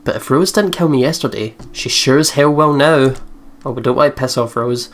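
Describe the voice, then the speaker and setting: A woman's voice: extremely low pitch, normal speed. A young woman reading game text aloud with casual let's play commentary. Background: soft game music.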